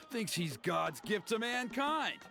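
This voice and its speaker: Expressive tribesman, earnest, gossipy, game character, widely swinging highish pitch, faint music. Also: drawn-out words, creaky, exaggerated voice. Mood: exasperated disdain. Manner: expressive, grumbling then ingratiating.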